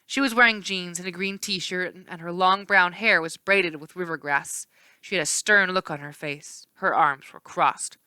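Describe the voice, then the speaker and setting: A woman narrates a children's novel in an expressive read-aloud style. Her voice is bright and smooth, at an average pitch. The sound is dry and close. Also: moderate pace, dry recording.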